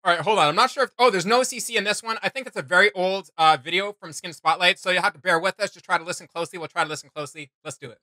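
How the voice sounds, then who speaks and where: Bright, high-voiced young man, quick talker. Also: no room sound. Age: young adult. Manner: enthusiastic, informal. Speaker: reaction channel host. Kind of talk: casual reaction commentary. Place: small home room with desk and shelves, indoors.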